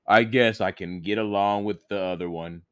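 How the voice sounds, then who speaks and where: A man's voice: fairly low pitch, steady tone, moderate pace, close to an everyday voice. A man voicing a small mouse in a folktale, hesitant and reluctant.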